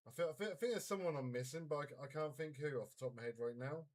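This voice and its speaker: A man's voice: fairly high pitch, racing. A man hosting a casual CB radio net, chatting in a friendly, informal way. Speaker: CB radio net controller and live stream host. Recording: very noisy CB radio live stream.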